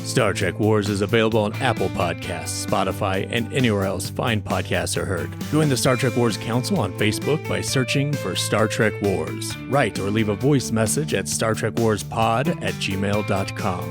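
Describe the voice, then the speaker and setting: A man's voice: lowish voice, normal speed, few pauses. Male podcast host over faint music. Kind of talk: scripted comic courtroom-style podcast narration.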